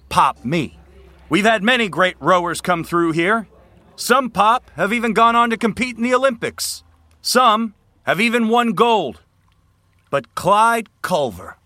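A man's voice: sits high, pushed and bright, no echo, full character voice. An actor playing an arrogant, domineering young male college rowing captain in an audio drama, commanding and projecting. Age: young adult. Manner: pompous, commanding, projected speech. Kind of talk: scripted audio drama acting.

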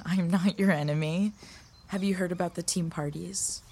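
A woman's voice: fairly low pitch, fairly even intonation, moderate pace, a little mellow, husky. A woman playing a concerned, frank young college rowing mentor in a naturalistic audio drama. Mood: worried, frank. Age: young adult. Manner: frank, earnest.

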